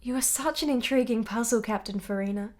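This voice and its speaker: A woman playing a candid young wife who yearns for romance in a radio drama, speaking in measured, articulate, intense phrases. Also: on the high side, a little mellow, natural voice. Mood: yearning.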